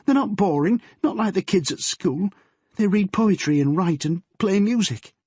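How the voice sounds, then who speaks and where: A man's voice: sits high, animated, moderate pace, a little mellow, breathy edge, no room sound, voice pushed up. A man reading the part of a frightened, tearful teenage girl telling her policeman father what happened. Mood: anxious. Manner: shaky, pleading, breathless.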